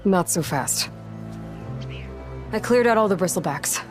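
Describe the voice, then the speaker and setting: A woman's voice: medium pitch, animated, measured pace, over music, close to an everyday voice. A woman playing a blunt, wry, determined young warrior heroine in a video game, dry and no-nonsense. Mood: wry, firm. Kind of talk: video game character acting.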